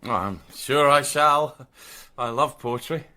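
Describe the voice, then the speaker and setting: An actor playing a mild, polite, apologetic but persistent police sergeant in a radio drama. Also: male voice, mid-range voice, slow, bright, forceful tone. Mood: polite, keen.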